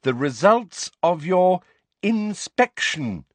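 A man voicing a condescending middle-aged woman school inspector, sickly sweet, speaking slowly and over-enunciating in a patronising way. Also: a little high, wildly swooping pitch, fairly bright tone, dry recording, pitched above the performer's own voice, exaggerated voice. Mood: smug, slow.

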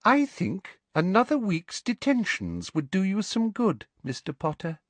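A man voicing a prim, sugary, vindictive middle-aged female teacher in a smooth, condescending manner for a storybook. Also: mid-range voice, big pitch swings, a little slow, no room sound, voice pushed up.

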